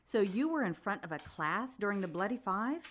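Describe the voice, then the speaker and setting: An actress playing a composed, probing news reporter conducting a formal interview in a radio play. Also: female voice, mid-range voice, animated, brisk, fairly bright tone, slight background noise, natural voice.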